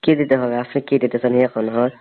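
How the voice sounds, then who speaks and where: A man's voice: medium pitch, level tone, racing, lightly acted. A man playing a hesitant but eager young brother-in-law in a staged phone-call drama, asking probing questions. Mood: curious, hesitant. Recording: tinny narrowband phone line.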